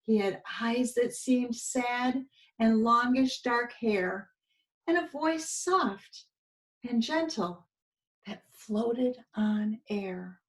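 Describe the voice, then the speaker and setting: A woman's voice: on the high side, drawn-out words, poor audio. A woman preschool teacher reading a storybook aloud expressively to young children, with little asides to her listeners.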